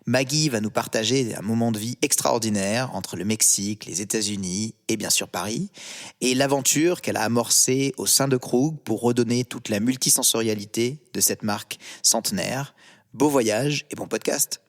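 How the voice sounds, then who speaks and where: A man's voice: medium pitch, moderate pace. Man reading a scripted intro. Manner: smooth, read-aloud delivery. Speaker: podcast intro announcer.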